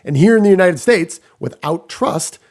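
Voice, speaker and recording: A man's voice: high voice, lively intonation, normal speed, a little mellow. A man hosting a podcast, giving scripted business commentary with rhetorical questions and emphatic short phrases. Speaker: podcast host.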